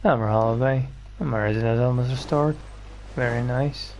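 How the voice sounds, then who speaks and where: A man's voice: medium pitch, moderate pace, darkish tone, a little hiss or hum. A man giving casual, slangy, grumbling commentary as he plays a video game. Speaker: gaming streamer.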